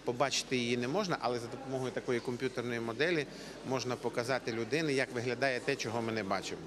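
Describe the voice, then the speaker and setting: A man in his forties gives an informal explanation in a news interview. His pitch is average and his phrasing halting. Background noise is clearly audible. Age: 40s. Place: exhibition hall. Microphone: handheld reporter microphone.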